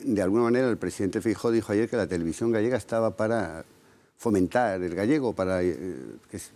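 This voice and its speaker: Low mellow-voiced Spanish man. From Galicia, Spain. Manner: measured, often ending with "¿no?". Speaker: interviewed candidate. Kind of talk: TV interview answers.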